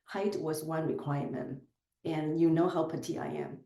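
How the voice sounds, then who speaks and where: Woman with a Chinese accent, low-pitched and mellow, thoughtful with fillers and restarts, roomy echo. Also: steady tone, moderate pace. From southern China. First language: Chinese dialect. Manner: thoughtful, with frequent fillers and self-corrections. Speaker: podcast guest. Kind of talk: casual interview conversation.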